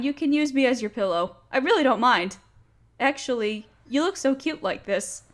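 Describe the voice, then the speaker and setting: A fairly high-pitched young woman, played by a female voice actor speaks close and intimately, as in a romantic audio drama. She is nerdy and smitten with her friend. Her delivery is nervous and hesitant, with stammers and sighs. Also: a bit bright. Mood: tender, soothing. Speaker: audio roleplay performer. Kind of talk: intimate romantic roleplay acting.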